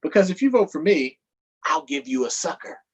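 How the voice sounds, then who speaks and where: A man puts on a pushy, childish storybook donkey. The voice jumps high and swings around with bragging, taunting energy, like a kid running for class president. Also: sing-song, moderate pace, breathy edge, lo-fi sound. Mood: wheedling. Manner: boastful, name-calling, childish. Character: boastful, pushy male donkey, childish candidate.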